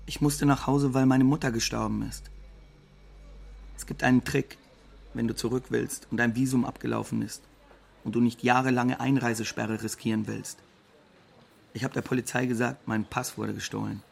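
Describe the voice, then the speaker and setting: Matter-of-fact migrant without papers, heavy vocal fry, flat delivery, radio play. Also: male voice, mid-range voice, normal speed. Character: matter-of-fact undocumented migrant man explaining survival tricks. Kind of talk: radio drama monologue.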